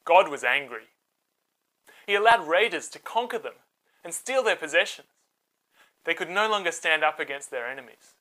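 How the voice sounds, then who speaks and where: A woman narrating a scripted children's Bible story in a dramatic, expressive way. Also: on the low side, moderate pace, pauses often, poor audio.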